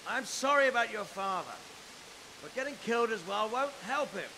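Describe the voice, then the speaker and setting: A man's voice: high voice, animated, moderate pace, big-room sound, noisy background. An actor playing a stern, commanding medieval nobleman in a video game cutscene, formal and brusque. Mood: grave, cordial.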